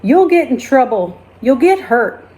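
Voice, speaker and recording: A woman's voice: high-pitched, big pitch swings, measured pace, warm tone. A woman voicing a stern, commanding policeman in a children's storybook, scolding and giving orders. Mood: stern warning.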